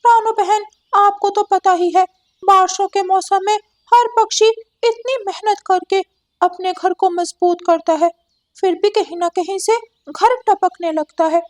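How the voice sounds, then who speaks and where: A woman's voice: very high voice, steady tone, measured pace, dry recording, studio quality, voice pushed up. A woman voicing an earnest, sensible male parrot who leads the birds in a cartoon. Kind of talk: cartoon character acting.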